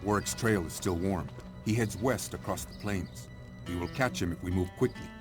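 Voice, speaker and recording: An actor playing a solemn, stoic warrior and protective brother in a dramatic game cutscene. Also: male voice, low-pitched, normal speed, close to an everyday voice. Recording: clear music behind the voice.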